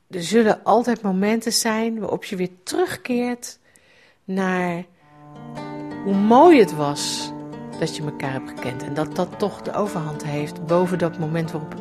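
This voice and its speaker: A middle-aged woman, telling a personal recollection in a conversational, reflective way. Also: mid-range voice. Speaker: writer, guest presenting a personal radio piece.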